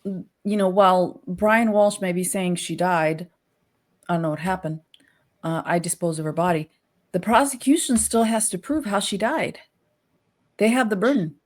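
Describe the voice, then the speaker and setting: A woman's voice: on the low side, steady tone, normal speed. A woman co-hosting a show, giving conversational legal commentary and reasoning aloud.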